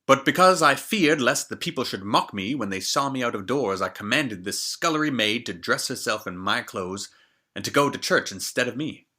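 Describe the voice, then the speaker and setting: A man's voice: medium pitch, animated, moderate pace, pushed and bright. A man voicing a haughty, short-tempered false bride in a storybook reading, with an indignant, imperious manner. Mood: defensive, haughty.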